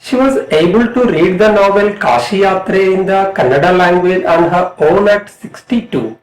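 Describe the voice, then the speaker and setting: A middle-aged man with an Indian accent reading a scripted lesson as an English lecturer, in a deliberate, emphatic way. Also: high voice. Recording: roomy, echoing voice.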